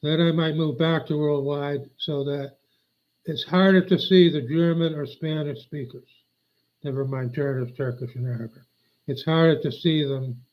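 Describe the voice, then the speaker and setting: Older man, livestreamer, forgetful and grumbling, slightly high voice, muffled lo-fi sound. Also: fairly even intonation, moderate pace. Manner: meandering, forgetful, aggrieved. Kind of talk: rambling live stream chat. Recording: dull lo-fi audio.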